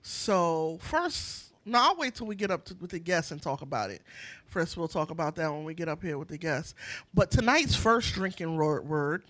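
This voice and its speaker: Bright, forceful woman hosting a podcast. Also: lowish voice, sing-song, moderate pace. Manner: slangy, playful, repeats phrases for emphasis. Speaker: podcast host. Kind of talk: lively casual banter.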